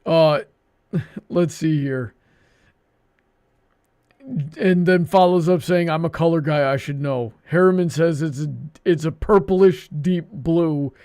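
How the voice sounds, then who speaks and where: A man hosting a livestream with casual banter, talking in a halting, good-humoured way. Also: fairly high pitch, mellow, clear, resonant tone. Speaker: livestream show host.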